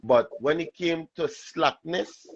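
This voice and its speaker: A man with a Trinidadian accent and a raspy voice, telling animated stories as a guest in a casual interview. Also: fairly high pitch, slow, dry recording, highs cut off. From Trinidad and Tobago. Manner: animated storytelling, laughs often. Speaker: soca artist guest.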